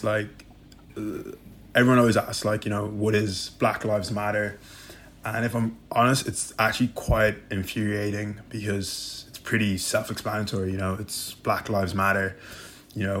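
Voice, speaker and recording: Young Irish man, podcast guest, low level tone, earnest and steady, slight background hiss. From Ireland. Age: young adult. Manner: earnest, steady delivery with many "you know" fillers. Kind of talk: casual podcast discussion.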